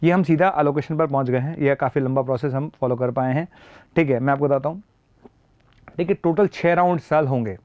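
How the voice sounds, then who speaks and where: A man's voice: medium pitch, brisk, darkish tone, dry recording, lo-fi sound. A man with a sore throat explaining an admissions process as a teacher, repeating key points emphatically and mixing in phrases. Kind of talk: explanatory lesson.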